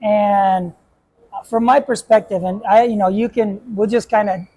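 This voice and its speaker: A man's voice: sits high, fairly even intonation, unhurried, warm tone, slight background noise, low-quality recording. A man, a basketball coach, hosting a casual chat and asking questions with a joking manner and many restarts.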